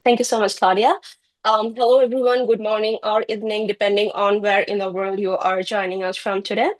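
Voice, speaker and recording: A woman from Bangladesh giving an earnest conference presentation over a video call, often pausing with fillers. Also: medium pitch, little pitch movement, low-quality recording.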